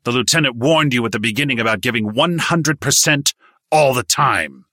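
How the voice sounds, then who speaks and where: A man voicing a gruff, scornful male army drill sergeant who barks orders. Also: low-pitched, animated, normal speed, sharp tone, dry recording, studio quality, full character voice. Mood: scolding. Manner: barking commands.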